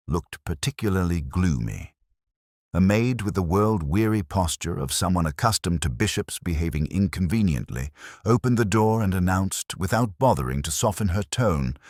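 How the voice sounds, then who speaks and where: Very deep male narrator, dryly wry. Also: no echo. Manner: dry, wry, measured storytelling. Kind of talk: scripted audiobook narration.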